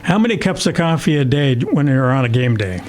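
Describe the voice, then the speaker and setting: A middle-aged or older man with a Canadian accent, hosting a podcast and putting questions in a measured, conversational way. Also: medium pitch, sing-song, a little mellow, a little hiss or hum. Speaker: podcast host, interviewer. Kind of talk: conversational interviewing.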